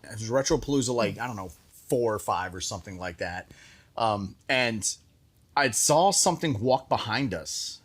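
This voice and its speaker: A man hosting a show, telling casual stories and acting out bits of conversation in an animated way. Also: lowish voice, normal speed. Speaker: show host. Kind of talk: casual group storytelling.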